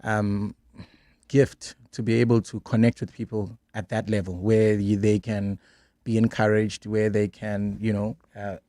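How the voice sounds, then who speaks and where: A man's voice: lowish voice, level tone, measured pace, warm tone, dry recording. A South African man in his late thirties, a gospel singer and pastor, talking thoughtfully in a radio studio interview, restarting phrases and laughing easily. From South Africa, Durban. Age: 30s.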